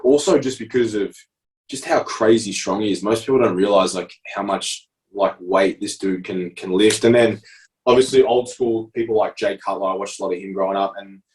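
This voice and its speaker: A man's voice: fairly low pitch, normal speed, darkish tone, far from the mic, poor audio. A man in his thirties with an Australian accent, co-hosting a coaching podcast in casual, enthusiastic chat. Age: 30s. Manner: enthusiastic, explanatory, fillers like "like" and "obviously". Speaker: prep coach podcast co-host.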